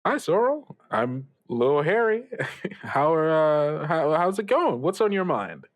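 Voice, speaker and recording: A man's voice: on the high side, sing-song, takes it slowly, pitched above the performer's own voice. Man playing a bubbly, showy teenage radio host. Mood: friendly, bemused. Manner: rambling, stumbling, jokey hype. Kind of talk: improvised radio show banter.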